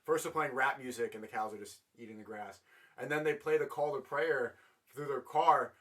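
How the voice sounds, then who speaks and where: A man running a livestream call talks in quick, hesitant bursts, repeating words as he goes. His voice is bright and forward. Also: medium pitch. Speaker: streamer hosting the call. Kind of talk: casual livestream chat.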